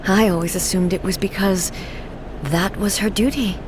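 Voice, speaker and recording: A woman voicing a stately, resolute female god-ruler in a game, speaking in a measured, formal way, over game music. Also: medium pitch, fairly even intonation, background noise, close to an everyday voice. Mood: solemn. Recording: game audio with loud music.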